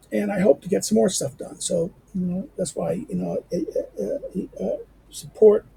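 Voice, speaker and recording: A hoarse man, a teacher, reading a history text aloud in a halting way with many fillers and restarts, then adding his own remarks. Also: sits high, warm tone, low-quality recording. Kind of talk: halting read-aloud with commentary.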